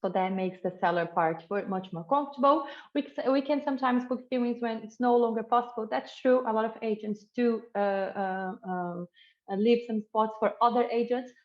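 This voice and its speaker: Middle-aged woman from Brazil, webinar host: friendly, rambling, lots of fillers, higher voice, dull call mic. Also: normal speed. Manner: friendly, many fillers and restarts, laughs easily. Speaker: webinar host, housing buying manager. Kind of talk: presenting a webinar.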